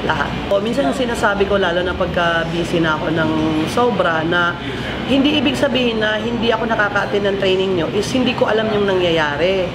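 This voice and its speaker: A woman's voice: medium pitch, quick pace. A middle-aged woman coach speaking firmly and earnestly in a sit-down interview, switching between Filipino and English. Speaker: head coach. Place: busy restaurant indoors. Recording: loud background noise.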